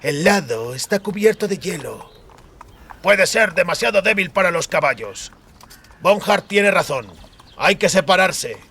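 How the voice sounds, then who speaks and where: A man's voice: sits high, lively intonation, measured pace, creaky, bright, forceful tone, slight background noise, voice pushed up, full character voice. A man voicing a stolid, dutiful tracker and soldier in a fantasy audiobook, terse and earnest. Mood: practical.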